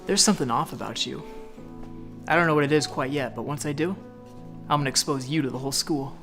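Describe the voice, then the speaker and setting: A young man playing a smug, threatening high school bully in a scripted drama, accusatory and taunting. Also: fast talker, sharp tone, soft background music. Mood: suspicious, threatening. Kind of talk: scripted moral drama acting.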